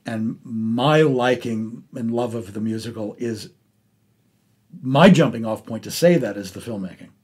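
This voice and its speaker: A man hosting a casual arts interview, speaking haltingly and often restarting his sentences. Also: mid-range voice, darkish tone, breathy edge. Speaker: interviewer and podcast host.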